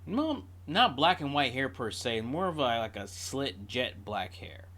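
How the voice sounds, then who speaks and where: A man's voice: medium pitch, very animated, measured pace, sharp tone. A man giving casual, off-the-cuff reaction commentary as a video host, drifting into digressive storytelling.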